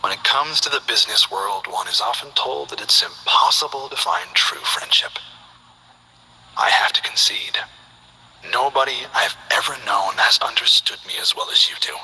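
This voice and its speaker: Soft-spoken, breathy gym owner. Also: male voice, mid-range voice, heavily acted, low-quality recording. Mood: gentle. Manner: soft-spoken, measured, half-whispered. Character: calm, gentle male gym owner, polite and composed. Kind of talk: video game voice acting.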